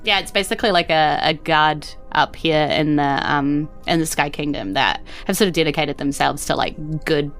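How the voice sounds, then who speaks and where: A woman's voice: sits low, moderate pace, hardly any pauses, a bit bright, faint music behind. A woman with a New Zealand accent narrating a tabletop game as game master in a loose, conversational way. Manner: loose, conversational, hedging with "like" and "sort of".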